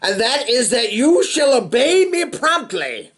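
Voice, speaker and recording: A man voicing a stern young fisherman with magic powers in a children's storybook, speaking in a commanding, deliberate way. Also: very high-pitched, sing-song, fairly bright tone, pitched above the performer's own voice, heavily acted.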